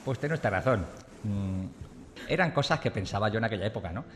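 A man's voice: on the low side, lively intonation, a little fast, big-room sound, slight background noise, lightly acted. A man voicing the ghost of a murdered male president in a radio comedy sketch, joking and offhand. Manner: jokey and offhand, slangy. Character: dead male Mexican president speaking from beyond, jokey.

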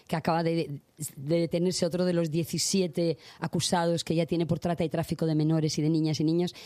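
A woman's voice: low-pitched, flat delivery, brisk, warm tone, no room sound. A woman guest, a singer and activist, talking passionately in long runs. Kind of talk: casual interview chat.

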